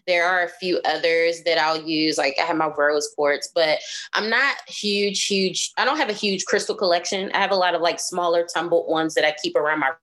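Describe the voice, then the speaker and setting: Woman just chatting as a podcast guest, animated, super informal, says like and you know a lot. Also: on the low side, moderate pace. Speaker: guest, astrologer and card reader. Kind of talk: casual conversational chat.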